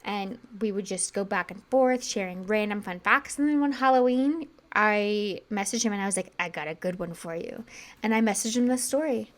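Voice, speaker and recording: A woman's voice: mid-range voice, moderate pace. Chatty, slightly congested woman podcaster. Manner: chatty and rambling, many fillers. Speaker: podcast co-host. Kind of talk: casual podcast chat.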